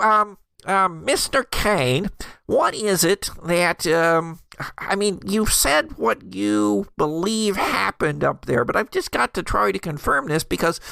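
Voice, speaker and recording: A man playing an exasperated, disbelieving small-town sheriff's deputy in an improvised tabletop game, questioning in a halting, folksy way. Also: high voice, gravelly, bright, forceful tone, no room sound, exaggerated voice. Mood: halting, official.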